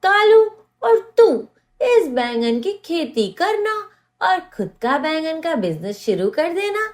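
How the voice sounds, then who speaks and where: A woman voicing a motherly crow mother-in-law in an animated moral story, practical, caring and firmly instructive. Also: very high voice, slow pace, heavily acted. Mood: advising.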